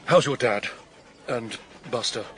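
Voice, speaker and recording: A man's voice: medium pitch, slow pace, fairly bright tone, a little hiss or hum. A man playing a brash, self-pitying man whose wife has thrown him out. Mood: glum. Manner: blokey, rambling.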